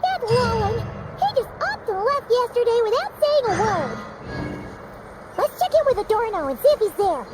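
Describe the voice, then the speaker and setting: A tiny fairy girl pipes up in an extremely high, squeaky, childlike voice. She is blunt and excitable, with swooping game-cartoon delivery over music. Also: normal speed. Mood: worried, indignant. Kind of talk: video game voice acting.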